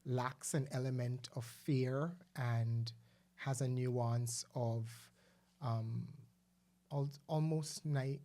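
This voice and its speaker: A man talks through his views in a warm, mellow voice. He speaks earnestly and thoughtfully on a casual discussion show, pausing often and restarting his sentences as he searches for words. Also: mid-range voice, steady tone. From Guyana. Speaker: podcast co-host.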